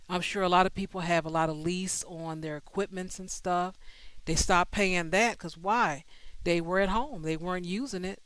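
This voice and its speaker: A woman's voice: lowish voice, smooth, no room sound, poor audio. A woman hosting a livestream, talking in an easygoing, conversational way. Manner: easygoing, conversational, many fillers. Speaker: host. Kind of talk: casual livestream chat.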